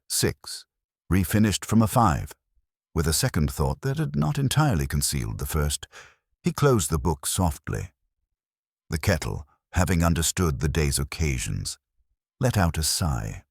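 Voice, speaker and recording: A man reading scripted literary narration in a measured, formal, wry manner. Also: low voice, slightly rough, no room sound, studio quality. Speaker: audiobook narrator.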